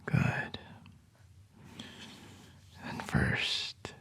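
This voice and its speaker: A man doing an ASMR roleplay as a boss, speaking in a slow, teasing, intimate whisper close to the microphone. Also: bright, forceful tone.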